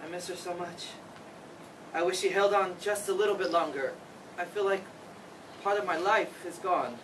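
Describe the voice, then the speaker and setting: A man acting grown-up son, stage play reading, high voice, distant, noticeable background noise. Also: moderate pace, fairly bright tone. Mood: grieving, regretful. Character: grieving adult son, teasing, regretful, defensive. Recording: distant mic, noisy room.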